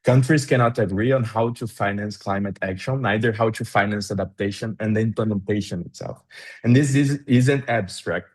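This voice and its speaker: Brazilian guy on a webinar call, lowish voice, earnest, kinda formal, some uhs. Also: darkish tone. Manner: earnest, formal, with fillers and restarts. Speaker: panelist. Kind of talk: webinar panel answer. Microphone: video call.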